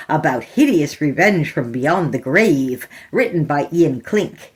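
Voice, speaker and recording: A woman hosting a horror fiction podcast, reading scripted introductions and story narration. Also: low-pitched.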